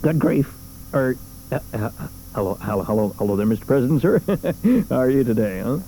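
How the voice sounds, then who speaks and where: A man's voice: mid-range voice, expressive, a little fast, warm tone, a little hiss or hum, close to an everyday voice, low-quality recording. An actor playing a flustered, blundering male astronaut who stammers and fawns to his superiors. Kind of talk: 1980s studio radio play acting.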